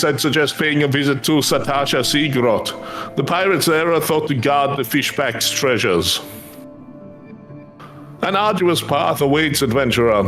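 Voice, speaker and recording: A man's voice: a little high, fairly bright tone. A man voicing a courtly, earnest fantasy craftsman, devout and grateful, speaking quest dialogue in formal, flowery phrasing. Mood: solemn, encouraging. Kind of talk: voiced reading of fantasy game quest dialogue. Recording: music behind the voice.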